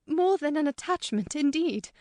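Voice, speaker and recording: Brief: a woman playing a gentle, earnest lady in an audiobook, trembling and eager, pitched high and lively. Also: moderate pace, dry recording, clean studio sound. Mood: agitated. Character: anxious, gentle middle-aged lady. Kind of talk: audiobook character reading.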